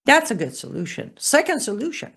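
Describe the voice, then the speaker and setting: A woman's voice: sits high, very animated, brisk, vocal fry, a bit bright, husky. A woman from Iran, hosting her own political show in an emphatic, sarcastic opinion monologue. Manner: emphatic, sarcastic, punchy repeated phrases. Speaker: political commentator, show host.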